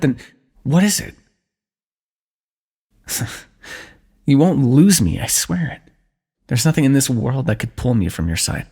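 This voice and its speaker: A man's voice: mid-range voice, gravelly, a little mellow, slightly rough, heavily acted. A man voicing a protective, lovesick warrior king in a fantasy ASMR roleplay, pleading earnestly with the listener.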